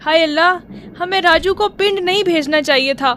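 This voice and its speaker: A woman's voice: extremely high pitch, normal speed, slight background noise. A woman playing an anxious, loving mother in a melodramatic radio drama, pleading and fretful. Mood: alarmed, worried.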